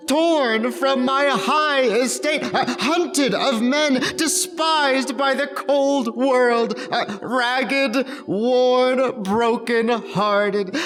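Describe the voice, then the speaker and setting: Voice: sits high, long reverb tail. An actor playing a forlorn male con artist posing as a wronged duke, lofty and self-pitying, in a dramatic reading of a classic novel.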